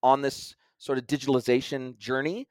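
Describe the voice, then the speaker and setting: A man's voice: medium pitch, steady tone, a little fast, a little mellow, no room sound. Man explaining at length, dry sound. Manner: explains at length, with frequent restarts. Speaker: guest expert. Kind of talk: conversational interview.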